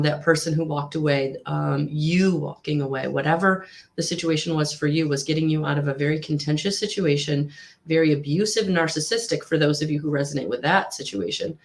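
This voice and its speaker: A woman giving a live tarot reading to viewers, with a husky voice, slightly hoarse after a recent illness, speaking in a soothing, repetitive way with frequent ums. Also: sits low, fairly even intonation, moderate pace. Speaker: tarot reader.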